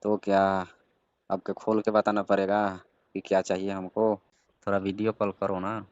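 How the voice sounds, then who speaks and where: Deep, flat-toned man on an echoey call. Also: moderate pace. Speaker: caller. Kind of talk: casual flirtatious phone chat. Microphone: phone call. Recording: band-limited phone call recording with echo.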